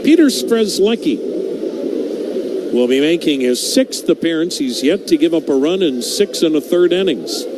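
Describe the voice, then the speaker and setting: A man's voice: on the high side, animated, moderate pace, background noise. A man doing live baseball play-by-play commentary as a radio announcer.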